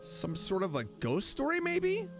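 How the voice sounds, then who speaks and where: A woman doing casual, playful let's play commentary, reacting with drawn-out exclamations. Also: mid-range voice, huge pitch swings. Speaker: VTuber game streamer. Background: game music.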